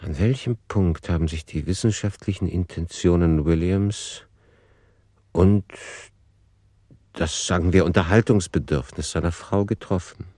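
Male radio play narrator: deep register, factual, analytical, no room sound. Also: a little slow, warm tone, no room sound, studio quality.

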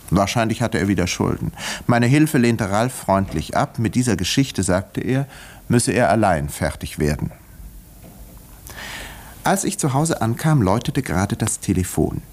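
A man playing a polite, discreet village doctor and narrator in a 1950s German radio play, speaking in a measured, articulate way. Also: on the low side, darkish tone, heavily acted. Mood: measured, reflective.

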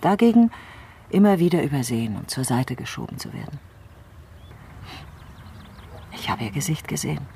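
An actress playing a troubled, brooding politician in a crime radio play, speaking reflectively and intensely, sometimes halting. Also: female voice, deep voice, very animated, gravelly, warm tone, slight background noise, exaggerated voice. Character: troubled, brooding female politician doubting the official truth. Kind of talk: dramatic radio play acting.

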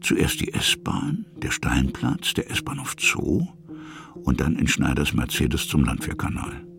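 An actor narrating a literary radio drama as a musing male storyteller, in measured, fragmentary phrases with a gravelly, husky voice. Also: very deep voice, full character voice. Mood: recollecting.

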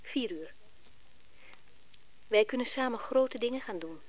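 A seductive, calculating society wife in a radio drama. Her voice is fairly high and swings freely up and down. She is forward and coaxing, sure of getting her way. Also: expressive, unhurried, noisy background. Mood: flirtatious, inviting. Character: flirtatious, bold, calculating wife of a wealthy businessman. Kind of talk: Dutch radio play acting.

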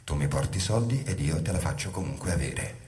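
A man's voice: sits low, animated, fairly quick, heavy background noise. A man playing a cold, manipulative villain in a musical stage drama, terse and controlled. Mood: cold, calculating. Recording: echoey stage recording over loud music.

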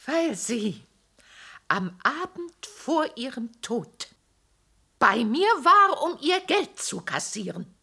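An actress playing an elderly widow who lives alone, speaking in a plaintive, tearful way with a gravelly edge in a radio drama. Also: female voice, high voice, big pitch swings, slow pace, bright, forceful tone, heavily acted. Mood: confiding. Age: older. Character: elderly widowed woman tenant, lonely and fearful. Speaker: witness.

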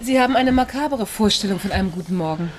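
An actress playing a cool, quick-witted and protective friend, speaking dryly in a radio crime drama. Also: female voice, medium pitch, lively intonation, a little hiss or hum, lightly acted. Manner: dry, sharp-tongued, unruffled. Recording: studio radio play, music behind.

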